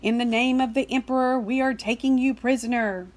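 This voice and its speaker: An officious storybook Roman numeral soldier, put on by a woman, declaiming in a raised, high voice. The manner is pedantic and matter-of-fact, with little taste for a fight. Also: steady tone, a little fast, darkish tone, lightly acted. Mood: commanding.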